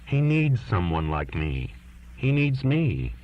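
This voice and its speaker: Earnest young daughter, read by a low-voiced man, lo-fi. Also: sing-song, takes it slowly, a little hiss or hum. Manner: pleading, insistent. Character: earnest, defiant twenty-year-old daughter writing to her father. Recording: dull lo-fi recording.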